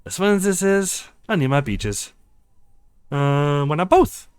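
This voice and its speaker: A man voicing playful, bantering young friends on a ski trip in a visual novel, with an excitable, teasing manner. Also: fairly high pitch, unhurried, smooth, no room sound.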